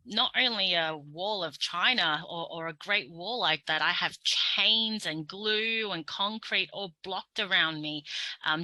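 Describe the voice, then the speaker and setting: A woman's voice: fairly low pitch, bright, forceful tone, no echo, rough recording. A young Australian woman with an Australian accent, hosting a casual conversation, talking openly with frequent ums and restarts. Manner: chatty, with many fillers and restarts. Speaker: podcast host.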